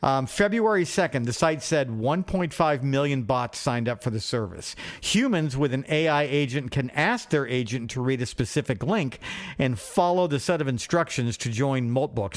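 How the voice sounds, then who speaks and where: A man co-hosting a podcast in a casual, comic phone chat, excitable and joking, with casual swearing. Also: medium pitch. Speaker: podcast co-host.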